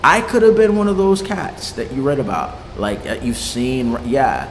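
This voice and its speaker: A man's voice: medium pitch, animated, moderate pace. A young man who grew up in Haiti, talking casually in an interview with loose slang, swearing, frequent fillers and easy laughter. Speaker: interviewed music producer. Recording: echoey room with long reverb and clear background noise.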